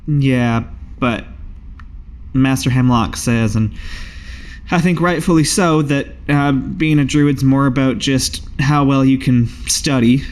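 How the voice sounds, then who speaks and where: A man's voice: medium pitch, slow, lightly acted. A man voicing a dejected, humble young female druid apprentice in a tabletop game, speaking in a hesitant, subdued way.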